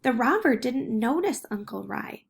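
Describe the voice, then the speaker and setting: A woman reading a children's picture book aloud in an expressive way. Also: on the high side, a little slow, vocal fry, husky. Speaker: storybook reader.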